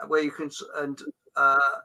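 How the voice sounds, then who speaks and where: A man's voice: a little high, completely flat delivery, unhurried, bright, forceful tone, low-quality recording. A middle-aged man, hosting a casual chat and telling rambling anecdotes with frequent laughter and mild swearing. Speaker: podcast host.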